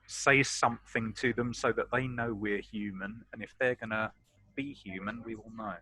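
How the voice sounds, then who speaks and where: Forceful, insistent male tabletop gamer. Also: fairly low pitch, fairly even intonation, normal speed, husky, no echo, poor audio. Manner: insistent, urging. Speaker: player in a tabletop game. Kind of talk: casual group discussion.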